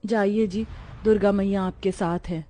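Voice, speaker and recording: A woman's voice: medium pitch, steady tone, normal speed, dark tone, faint music behind, natural voice. A woman playing a devout, long-suffering poor daughter-in-law in a cartoon moral story, earnest and reverent. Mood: caring.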